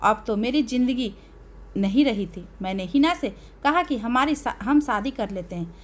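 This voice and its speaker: A woman narrating a dramatic first-person audio story in an emotional, expressive way. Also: fairly high pitch, moderate pace.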